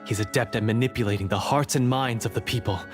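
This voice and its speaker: A man playing an earnest young repentant hunter in a video game, halting and a little theatrical. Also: mid-range voice, lightly acted. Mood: theatrically contrite. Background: game music.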